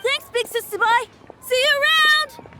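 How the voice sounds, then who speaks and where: Very high-pitched small child. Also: female voice, a little slow, pushed and bright, some chatter behind, heavily acted. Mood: eager. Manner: childlike, stammering when flustered. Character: small eager child, timid and easily upset. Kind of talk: video game voice acting. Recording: clear background music under the voice.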